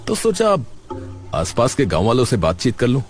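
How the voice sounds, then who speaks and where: Man playing curious young student, very animated intonation. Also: medium pitch, normal speed, faint music behind. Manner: polite, inquisitive. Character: curious young male student researching a village story. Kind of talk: animated story dialogue.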